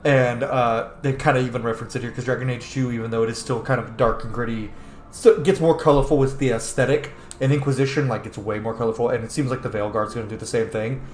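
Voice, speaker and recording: A man's voice: medium pitch, steady tone, quick pace. A man giving casual, chatty, musing commentary as he plays a video game. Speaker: let's player. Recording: roomy echo with faint background noise.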